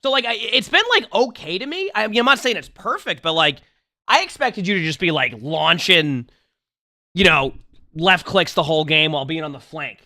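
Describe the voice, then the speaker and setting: High, lively slightly hoarse man coaching. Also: moderate pace, dry recording, studio quality. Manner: direct, talks the player through mistakes. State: slightly hoarse, under the weather. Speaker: streamer coaching a player. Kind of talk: live-stream gameplay coaching commentary.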